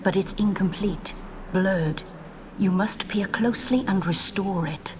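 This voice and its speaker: An actress playing a solemn, cryptic female spirit servant in a video game, formal and measured. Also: medium pitch, noisy background, lightly acted. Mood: grave, instructing.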